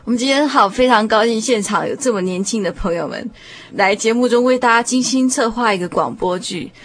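A woman's voice: a little high, a little fast, a little hiss or hum. A woman with a Taiwanese Mandarin accent, hosting a conversational discussion programme. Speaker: radio show host.

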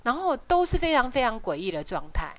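A woman with a Taiwanese Mandarin accent hosting a podcast, explaining and retelling in an informal, halting way with frequent tag particles. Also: a little high, sing-song, a bit bright. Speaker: podcast host.

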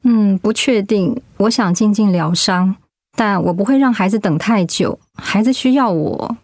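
A woman acting a hurt but resolute mother in a radio drama, speaking gently, reflectively and with feeling. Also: mid-range voice, measured pace, dry recording, studio quality. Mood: resigned, reflective. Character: hurt, resolute mother leaving her marriage. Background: background music.

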